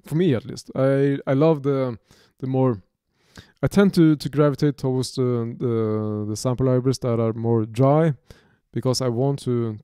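A Norwegian-accented man in his thirties walks through his studio setup at an unhurried, deliberate pace. His voice is mellow and smooth, recorded close and dry in a small home studio. He talks in a halting, off-the-cuff way, stopping and restarting his phrases. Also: mid-range voice, no room sound. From Norway. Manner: halting, many restarts and fillers. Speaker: music producer demonstrating software. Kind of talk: unscripted tutorial.

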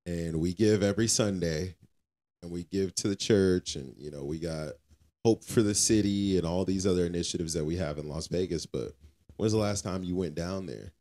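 A man's voice: deep voice, mellow. A man co-hosting a casual faith podcast, talking in an informal, colloquial and earnestly preachy way. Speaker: podcast co-host.

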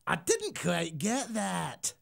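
A woman doing a gravelly, dim-witted puppet animal. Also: on the low side, wildly swooping pitch, exaggerated voice. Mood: confused. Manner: hesitant, slow, lots of uh. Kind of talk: children's TV puppet comedy.

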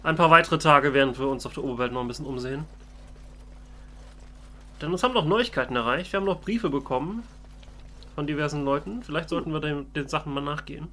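A man's voice: a little high, fast, pauses often, fairly bright tone, slight background noise. A man doing casual, in-character let's play commentary, musing and thinking aloud. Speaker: let's player.

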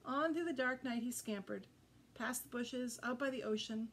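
High-voiced middle-aged woman reading a storybook. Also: moderate pace, slight background noise. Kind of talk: expressive children's storybook read-aloud. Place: indoor room with green curtain backdrop.

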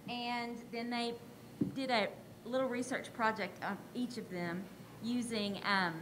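A woman's voice: fairly high pitch, fairly even intonation, a little slow. An older woman, a teacher, giving an informal, explanatory presentation in a conversational way at a podium microphone through a face mask. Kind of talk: informal award presentation to a school board. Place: school board meeting room. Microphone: podium microphone, speaking through a face mask. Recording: audible room noise at a podium microphone.

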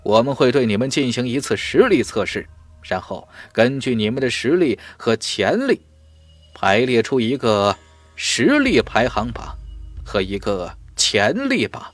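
A man voicing a commanding middle-aged master in a fantasy audiobook, speaking in a solemn, declamatory and deliberate way. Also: mid-range voice, big pitch swings, no room sound, faint music behind. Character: commanding middle-aged male cultivation master addressing young warriors. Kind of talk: fantasy audiobook character acting.

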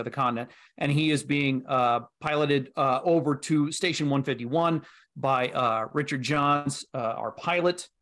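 A middle-aged man chatting casually as an interview guest, halting and pausing often. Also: medium pitch, a bit bright. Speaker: voice actor and writer, guest. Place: indoors, on a video call.

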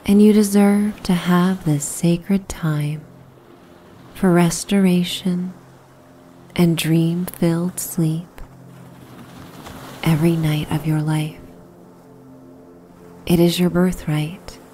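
A woman narrating a guided sleep meditation in a soothing, hushed, breathy and unhurried way. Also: fairly low pitch, slight background noise. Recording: soft background ambience soundscape. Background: relaxing ambient soundscape.

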